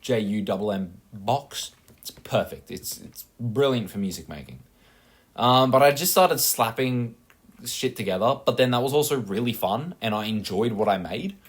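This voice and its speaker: A man's voice: medium pitch, animated, moderate pace. A young Australian man rambling casually over his gameplay, with many fillers and self-mocking asides. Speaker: gaming channel host.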